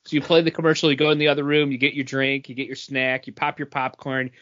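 A man's voice: mid-range voice, flat delivery, fast, a bit bright, muffled recording. A man co-hosting a podcast, telling everyday anecdotes in a casual, humorous way. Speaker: podcast co-host.

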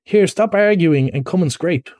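A man performs an excitable rat from a storybook, with a high, emphatic voice. He is kindly underneath but bossy and easily exasperated, and the sound is dry and close. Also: normal speed, no room sound. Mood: impatient. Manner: eager, emphatic, impatient.

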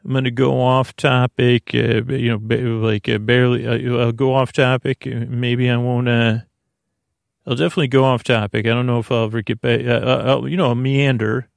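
Man, podcast host, gravelly fry, middle pitch, soothing, halting, stammering, meandering bedtime monologue. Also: little pitch movement, dry recording. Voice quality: creaky. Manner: halting, hesitant, stammering with repeated false starts; lulling, soothing.

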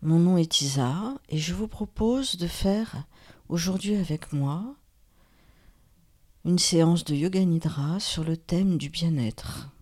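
A woman guides a relaxation meditation in a calm, soothing manner, leaving long pauses. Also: fairly low pitch. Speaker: yoga nidra guide, host.

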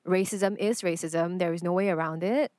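A woman's voice: on the low side, steady tone, moderate pace, a little mellow, no echo, clean studio sound. A woman from Singapore, an artist speaking as a guest in a reflective, earnest conversation.

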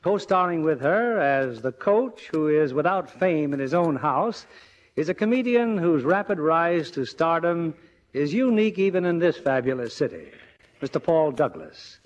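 A man's voice: on the high side, sing-song, moderate pace. A man hosting in scripted, theatrical 1950s radio style, polished and formal. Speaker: radio theatre host and producer. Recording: dull old radio broadcast recording.

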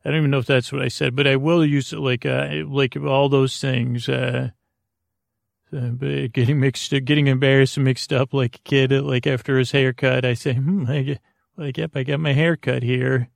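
A man hosting a sleep podcast in a creaky, lulling voice, meandering and stammering through deliberately dull tangents. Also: medium pitch, level tone, no room sound, clean studio sound. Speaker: host of a bedtime-story podcast. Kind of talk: rambling sleep podcast monologue.

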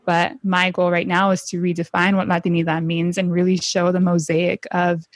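A woman's voice: fairly low pitch, normal speed, no room sound. A young woman from San Diego with a Honduran family, talking casually and often saying "like". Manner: chatty, heavy use of "like", animated quoting. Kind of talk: casual chat.

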